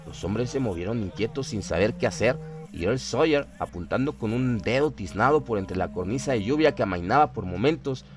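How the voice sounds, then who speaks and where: A man's voice: fairly low pitch, expressive, brisk. A man reading scripted horror narration in a dramatic storytelling style.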